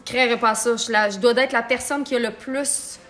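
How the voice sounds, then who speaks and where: Une femme d'âge mûr à l'accent québécois, qui bavarde en blaguant pendant qu'elle se maquille en direct. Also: female voice, a little high, fairly even intonation, a little fast, fairly bright tone, soft background music. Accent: Québécois French. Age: middle-aged. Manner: chatty and joking, talks while applying makeup. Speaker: makeup artist and business owner. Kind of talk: live-stream makeup tutorial chat.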